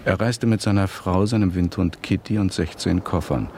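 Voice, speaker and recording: An actor playing an authoritative narrator in a radio play, formal, deliberate and precise. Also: male voice, low-pitched, a little mellow. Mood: formal, exacting. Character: authoritative male narrator, formal and exactingly detailed. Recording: 1970s radio recording, faint noise.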